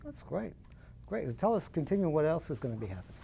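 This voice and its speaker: A man's voice: fairly high pitch, very animated, fast, gravelly, mellow, breathy edge. A man hosting a TV studio interview, encouraging his guests and often answering "that's great". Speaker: TV host.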